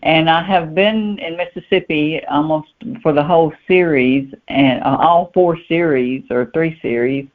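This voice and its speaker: A woman's voice: deep voice, unhurried, few pauses. Woman interviewee, informal, repeats words while thinking, narrow telephone audio. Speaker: author guest. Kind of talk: casual interview answers. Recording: narrow tinny phone line.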